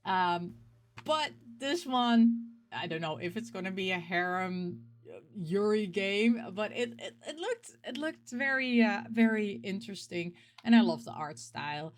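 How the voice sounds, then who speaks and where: A woman hosting a casual video about games, talking in an expressive, rambling way and repeating words for emphasis. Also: mid-range voice, a little slow. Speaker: host of a game collecting show. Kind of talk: casual chat, showing game pick-ups.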